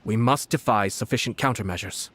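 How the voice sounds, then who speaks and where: A male actor plays a young aristocratic official from an anime game. His voice is fairly low, and he speaks in a formal, measured, calculating way. There is clear noise behind him. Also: a bit bright, natural voice. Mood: grave, resolute. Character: poised, calculating young nobleman and high commissioner. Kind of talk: anime-style game voice acting.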